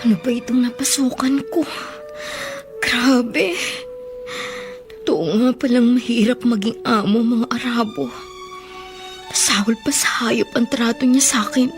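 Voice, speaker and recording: A high-voiced Filipina maid, long-suffering yet determined, in a radio drama. Her delivery is plaintive, halting and close to tears. Faint music plays behind her. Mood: tearful despair. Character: suffering, determined young mother working abroad as maid.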